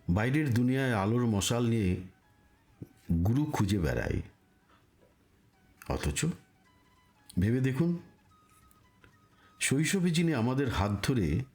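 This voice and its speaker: Slow, expressive man narrating devotional reflections. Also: medium pitch. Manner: solemn, deliberate, rhetorical pauses.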